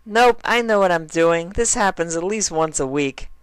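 A man's voice: sits high, lively intonation, moderate pace, a bit bright, smooth, no echo, voice pushed up. A man voicing a cheerful, innocent, scatterbrained mare pony in a bubbly, childlike, eager way for a story reading. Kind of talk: fan fiction character reading.

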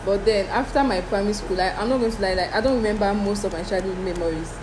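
A woman's voice: mid-range voice, fast talker. A young woman with a Nigerian accent, a guest in a casual chat, telling stories animatedly with dramatic quotes and pidgin slipping in. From Delta State, Nigeria. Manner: animated storyteller, dramatic quoting, mixes in pidgin. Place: indoor room, seated before curtains. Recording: room with air conditioner hum.

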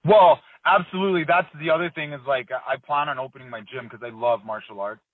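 A man in his thirties, a fighter being interviewed, talking in a relaxed, rambling way full of fillers and restarts. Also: on the high side, fairly quick, slightly rough, no room sound. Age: 30s. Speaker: MMA fighter, interview guest. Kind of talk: casual phone interview. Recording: dry tinny phone line.

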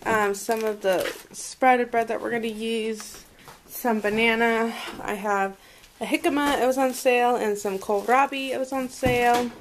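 High-voiced woman chatting casually, noisy recording. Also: steady tone, measured pace. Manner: rambling, thinking aloud. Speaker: home vlogger. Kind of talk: casual chat, showing a grocery haul. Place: indoors at a home dining table. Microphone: handheld camera microphone. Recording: noisy handheld camera audio with clicks.

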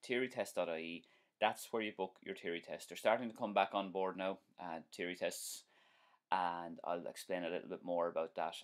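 Chatty Irish driving instructor, low voice. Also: male voice. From Ireland. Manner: chatty, friendly, repeats himself, many um and uh fillers. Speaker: driving instructor hosting a live stream. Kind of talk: casual live-stream talk, reading viewer comments. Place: small indoor room at home.

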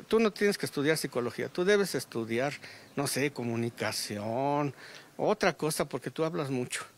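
A middle-aged man with a Mexican Spanish accent, a grieving father speaking in a live news interview outdoors at a funeral, halting with many restarts, into a handheld reporter mic. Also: a little high, vocal fry. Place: outdoors under a tent at a funeral.

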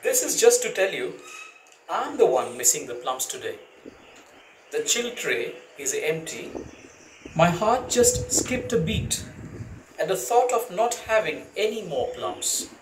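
Middle-aged man, lively slow letter reading, echoey room from far off, faint noise. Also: high-pitched. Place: indoor room with bare wall. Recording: roomy, distant camera with faint noise.

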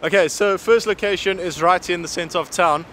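A man's voice: sits high, fairly quick. A man in his thirties with a South African accent, chatting casually as a photographer vlogging while he walks. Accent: South African English. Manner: relaxed, chatty, walking while filming. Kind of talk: casual vlog chat. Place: busy city street outdoors. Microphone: handheld camera at arm's length. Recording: loud street traffic noise.

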